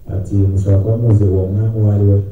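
A man's voice: fairly low pitch, little pitch movement, rapid-fire, dark tone, soft background music. A young man interpreting a sermon phrase by phrase in a church hall. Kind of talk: consecutive sermon interpreting into Kinyarwanda. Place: church hall, handheld microphone through speakers. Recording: muffled, very echoey hall, distant pickup.

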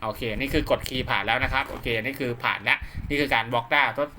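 A man's voice: medium pitch, steady tone, fast. Man giving casual tutorial, noisy recording. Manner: conversational, repeats himself often, polite particles. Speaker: host of radio equipment tutorial. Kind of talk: casual hands-on tutorial, talking viewers through steps. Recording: clearly noisy home-made recording with clicks.

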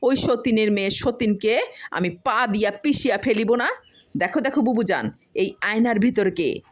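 A woman's voice: mid-range voice, moderate pace, pitched above the performer's own voice, lightly acted. A woman voicing a jealous, hot-tempered village wife in a storybook reading, scolding and exclaiming. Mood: indignant complaining.

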